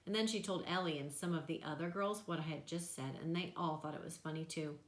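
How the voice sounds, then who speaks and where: A woman giving an expressive read-aloud of a children's novel. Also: deep voice, a little fast, echoey room.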